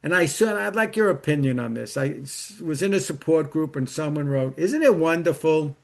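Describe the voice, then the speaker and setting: This is a man in middle age with a voice pitched somewhat above average. He speaks as an interview guest, in a conversational storytelling style. He slips into quoted dialogue as he shares personal experience and advice. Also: lively intonation, brisk, creaky.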